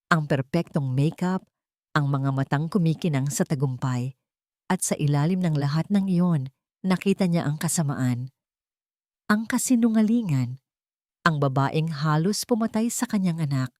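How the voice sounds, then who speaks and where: A woman narrating a scripted romantic drama story in short, measured, dramatic phrases. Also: low voice, dark tone, dry recording, studio quality.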